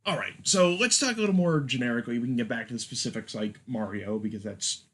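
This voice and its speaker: A man's voice: medium pitch, fast talker, a bit bright. A man hosting a casual film chat, with a wry, joking manner. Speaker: podcast host.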